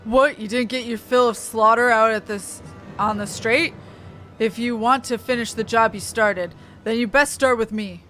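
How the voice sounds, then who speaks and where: A woman voicing a gruff, weary male navy sailor who talks in blunt seafaring dialect. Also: fairly high pitch, steady tone, moderate pace, bright, forceful tone, soft background music. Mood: defiant.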